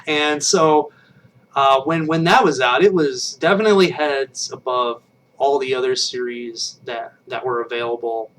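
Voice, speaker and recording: A man's voice: mid-range voice, a little slow, fairly bright tone, distant mic, rough recording. A man in his late thirties casually chatting on a live stream, rambling and self-deprecating with frequent restarts, recorded on a webcam. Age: 30s. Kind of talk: casual chat with viewers.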